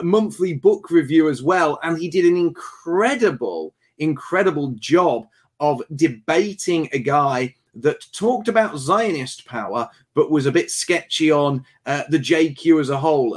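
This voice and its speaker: A man hosting a talk show, speaking emphatically and repeating words for stress. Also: a little high. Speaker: show host.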